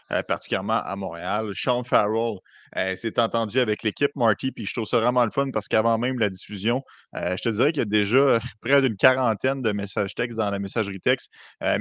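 A man's voice: fairly low pitch, fairly bright tone, no echo. A man hosting a sports podcast, guiding the talk and reading listener messages. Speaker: podcast host.

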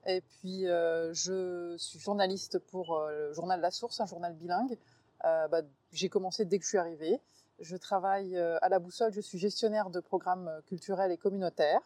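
A woman's voice: lowish voice, fairly even intonation, normal speed, darkish tone, no room sound. A woman from Réunion, a guest in a casual interview, speaking hesitantly with many fillers. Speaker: interview guest, journalist and musician.

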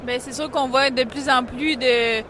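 A woman's voice: high voice, fairly even intonation, measured pace, background noise. A woman from Quebec with a Quebec French accent, answering interview questions casually about her feed company. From Quebec, Canada. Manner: casual, many fillers.

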